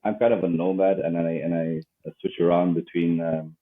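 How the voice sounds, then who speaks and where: A man's voice: deep voice, little pitch movement, moderate pace. A man from Malaysia, a diving instructor, answering interview questions casually and hesitantly with many fillers and restarts. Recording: phone-like call audio.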